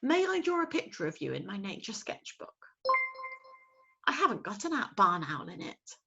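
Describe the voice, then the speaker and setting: A woman voicing a kind, gentle young woman artist with a soft, sweet manner. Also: mid-range voice, huge pitch swings, normal speed, vocal fry, muffled recording, background noise.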